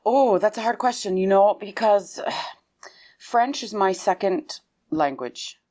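A woman's voice: medium pitch, animated, slow pace, warm tone. A Lebanon-born woman, an opera singer whose first language is Arabic, talking thoughtfully in an interview and often restarting her sentences. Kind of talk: conversational interview.

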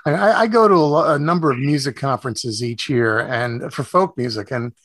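A man hosting a conversational radio interview, speaking in halting phrases. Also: mid-range voice, a bit bright, dry recording. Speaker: radio show host.

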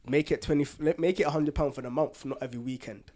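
A man's voice: fairly high pitch, fast talker, warm tone, slight background noise. Informal, slangy London man chatting. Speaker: podcast co-host. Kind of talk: casual chat, personal anecdotes.

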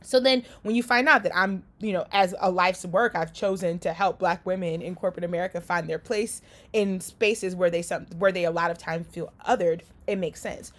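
A woman from Liberia giving casual career coaching, talking conversationally with frequent "right?" and quick restarts. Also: fairly low pitch, steady tone. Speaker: career coach and company founder. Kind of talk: casual coaching talk to an audience.

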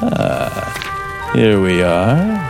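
An American actor in a 1950s radio drama playing a bitter, sardonic male scriptwriter plotting murder, with a theatrical, deliberate delivery. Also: lowish voice, huge pitch swings, vocal fry, heavily acted. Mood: bitter, menacing. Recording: vintage 1950s radio recording with music.

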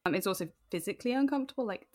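A woman's voice: medium pitch, animated, very fast, darkish tone. A woman speaking candidly and informally as an interview guest, animatedly telling personal stories. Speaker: podcast guest.

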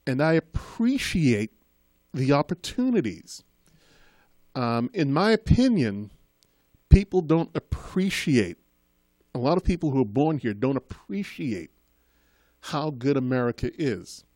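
A man's voice: medium pitch, sing-song, measured pace, pauses often, a little mellow, no echo. A man in his fifties, raised in Boston after being born in Trinidad, telling his life story as a guest in an earnest, rambling way. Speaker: guest, school committee member. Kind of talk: casual interview.

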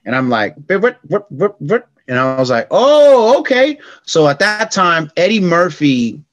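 A middle-aged man from California telling stories in a casual interview, slangy and animated, acting out quotes in a raised voice. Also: high-pitched, measured pace, dry recording, lo-fi sound. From California, United States. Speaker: podcast guest.

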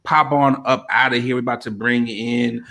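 A man's voice: mid-range voice, fairly even intonation, normal speed, gravelly, pushed and bright. A man hosting a casual podcast, bantering in laid-back slang and moving segments along. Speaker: podcast host.

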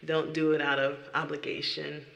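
A woman's voice: deep voice, little pitch movement, normal speed, creaky, a bit bright, reverberant. A woman who sings in worship, giving an earnest, emphatic personal testimony in an interview. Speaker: worship singer.

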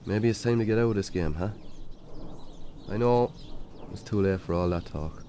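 An actor with an Irish accent playing a weary, lonely farmer in a naturalistic radio drama, plain-spoken and wry. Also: male voice, lowish voice, normal speed, mellow, a little hiss or hum, close to an everyday voice. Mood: weary, muttering.

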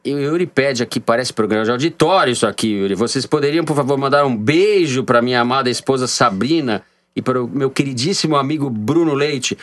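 Bantering podcast host, São Paulo accent. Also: male voice, mid-range voice, a little fast, hardly any pauses. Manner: jokey, bantering, reads listener messages. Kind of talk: casual political podcast chat.

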